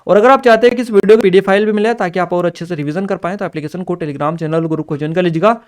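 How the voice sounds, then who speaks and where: A man's voice: high voice, racing. A man giving an instructional exam review, reading out questions and answers and listing points repetitively with small check-in tags. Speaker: exam coaching teacher.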